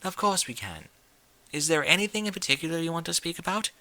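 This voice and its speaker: A man voicing a refined young female pony from a cartoon world in a story reading, with genteel, theatrical, gently prodding diction. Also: a little high, expressive, fast, no room sound, voice pushed up, full character voice. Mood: concerned, careful.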